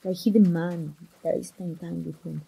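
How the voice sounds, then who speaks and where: A young woman voicing an engaged woman confiding in an old friend, in a soft, breathy, close ASMR roleplay style. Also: lowish voice, steady tone, moderate pace, highs cut off, exaggerated voice. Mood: troubled. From Mexico.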